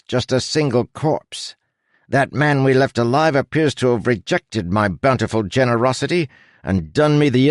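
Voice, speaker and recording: A man's voice: mid-range voice, dry recording, studio quality, voice pushed up, natural voice. A man voicing a rat who plays an aristocratic pirate captain, with a posh accent and a pompous, declamatory manner.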